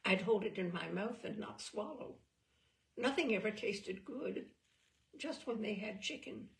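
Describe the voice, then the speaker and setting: A woman's voice: mid-range voice, animated, measured pace, creaky, distant mic, low-quality recording. A woman voicing a wistful, hurt young girl from a short story, confiding in a plaintive, pleading way. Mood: glum.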